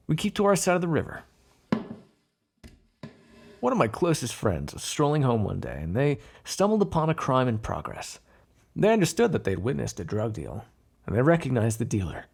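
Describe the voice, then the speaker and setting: A man playing a flirtatious, menacing mob boss in an ASMR roleplay, smooth-talking in a teasing, insinuating way with drawn-out phrasing. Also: medium pitch, exaggerated voice. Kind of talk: ASMR roleplay voice acting, one-sided dialogue.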